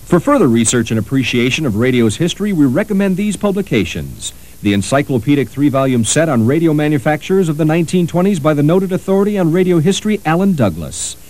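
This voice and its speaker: A man's voice: on the high side, lively intonation, quick pace, few pauses, a little mellow. A man reading scripted documentary narration.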